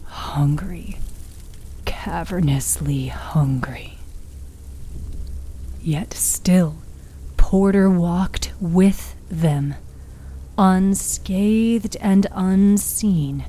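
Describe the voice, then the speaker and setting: Very slow, husky woman storyteller. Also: fairly low pitch. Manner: slow, hushed, suspenseful delivery. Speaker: narrator and author of an audio fiction podcast. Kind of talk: dramatic scripted story narration.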